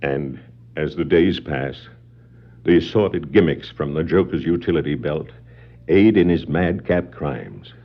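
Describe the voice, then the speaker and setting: An actor narrating a scripted 1960s superhero adventure record as a dramatic, portentous storyteller. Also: male voice, a bass voice, measured pace, exaggerated voice. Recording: muffled 1960s vinyl record.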